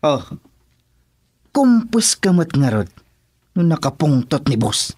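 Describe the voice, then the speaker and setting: A man's voice: fairly high pitch, sing-song, slow, a little mellow, breathy edge, full character voice. A man with an Ilocano Filipino accent playing a cocky, goading gang henchman in a radio drama.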